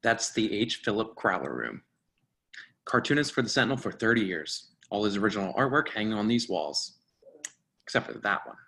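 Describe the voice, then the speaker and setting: A man's voice: fairly low pitch, sing-song, moderate pace, vocal fry, bright, forceful tone, full character voice. Man acting a fussy, officious restaurant host. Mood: polite, formal. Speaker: actor in a staged reading.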